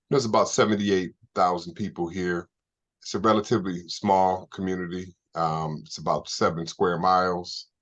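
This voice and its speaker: A man's voice: sits low, highs cut off. A middle-aged American man giving conversational, explanatory answers as an interview guest. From Evanston, Illinois, United States.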